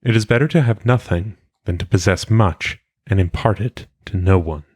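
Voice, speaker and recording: A man reading philosophical maxims aloud in a solemn audiobook style, with measured, deliberate phrasing. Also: on the low side, darkish tone, husky, dry recording. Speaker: reader.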